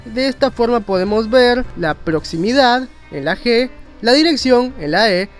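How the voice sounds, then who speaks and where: A man's voice: very high-pitched, lively intonation, a little slow. A man narrates an informal explainer. He rambles conversationally and drops in self-mocking asides. Faint music plays behind his narration. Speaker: video narrator and graphic designer.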